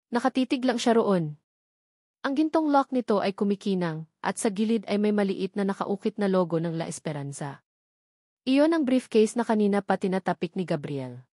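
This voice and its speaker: A woman's voice: mid-range voice, a little mellow, no echo, clean studio sound. A woman reading a scripted dramatic love story as its narrator.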